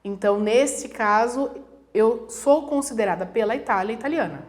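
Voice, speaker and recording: A woman's voice: a little high, moderate pace. A woman from Brazil, a guest professor, explaining things conversationally and acting out examples in quoted voices. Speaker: guest, international relations professor.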